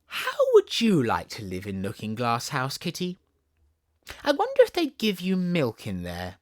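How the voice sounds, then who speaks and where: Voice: medium pitch, wildly swooping pitch, measured pace. A storybook narrator with a Southern English accent voicing a chatty, imaginative, bossy little girl who is playful and full of wonder. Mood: eager, confiding.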